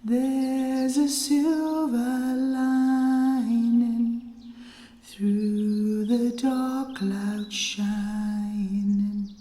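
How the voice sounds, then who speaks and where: Woman singing slowly in echoing reverb. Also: fairly high pitch, level tone, dark tone, faint music behind. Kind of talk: slow singing of a sentimental wartime song. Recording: echoey hall with long reverb.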